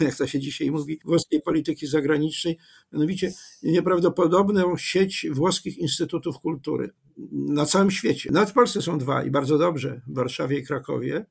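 An older man, a history professor, giving long, measured answers with many fillers and self-corrections, speaking from a home study over a webcam video call. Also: fairly high pitch, gravelly, darkish tone, breathy edge. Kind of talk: lecture-style interview answer.

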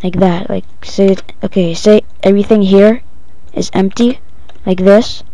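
Casual tutorial presenter, female-sounding, monotone and improvised, lo-fi muffled home recording. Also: mid-range voice. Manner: halting, improvised step-by-step instructions.

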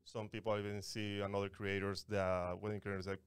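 A man from Venezuela with a Venezuelan Spanish accent, a wedding content creator guesting on a podcast, speaking halting English with restarts on a studio mic. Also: fairly low pitch, completely flat delivery, smooth, dry recording. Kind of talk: casual podcast interview. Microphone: studio mic on boom arm, headphones.

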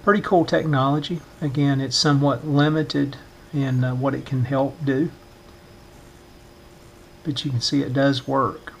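Man giving a measured workbench tutorial. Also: medium pitch, little pitch movement, vocal fry, a little hiss or hum. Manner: measured, explanatory, with frequent pauses mid-phrase. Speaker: vintage radio restorer giving a demonstration. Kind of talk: hands-on tutorial narration.

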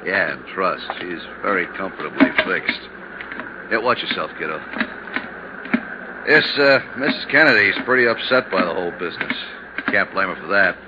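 A chummy male insurance man with a fairly low voice and a folksy, familiar way of talking. The 1950s radio drama sound is muffled, with clear background noise. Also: expressive, normal speed. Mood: chummy, informative.

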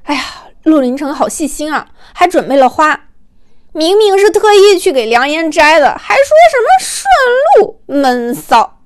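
An excitable young fan, acted by a woman narrator, gushing over a livestream. The voice is high, teasing and full of exclamation, in audiobook style. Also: normal speed. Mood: excited gushing.

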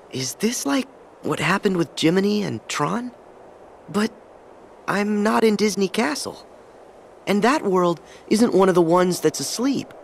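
A man's voice: sits high, gravelly, slight background noise, heavily acted. A young man voicing an eager, kind teenage boy hero who talks earnestly and asks questions. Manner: earnest, inquisitive. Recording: game audio with music behind.

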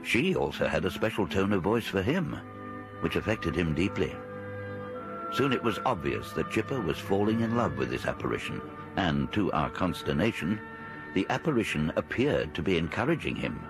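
An actor playing a space crewman who narrates a radio drama in measured, expressive storytelling. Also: deep voice, music in the background. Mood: reflective. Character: male space crewman recounting the mission.

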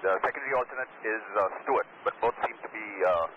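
A man speaking as an airline pilot over aviation radio, in halting, hesitant phrases. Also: fairly low pitch. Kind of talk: radio exchange with air traffic control. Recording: muffled lo-fi cockpit radio, loud static.

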